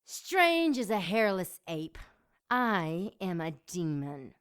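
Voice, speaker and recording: A woman's voice: lowish voice, very animated, takes it slowly, smooth. A woman playing a seductive, domineering demon in an audio drama, with a sultry, mocking delivery. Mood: haughty, scheming. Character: seductive, sadistic female demon, taunting and domineering.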